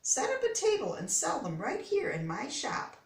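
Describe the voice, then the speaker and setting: A man's voice: extremely high pitch, huge pitch swings, normal speed, creaky, echoey room, far from the mic, voice pushed up, exaggerated voice. A woman reading aloud as a kindly, thoughtful old immigrant man who keeps a hat shop, in a storybook. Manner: thoughtful, soft-spoken.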